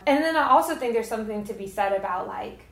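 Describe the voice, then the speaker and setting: Higher-voiced young woman hosting, roomy sound. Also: lively intonation, quick pace. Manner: effusive, informal slangy speech. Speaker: podcast host. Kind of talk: casual interview chat.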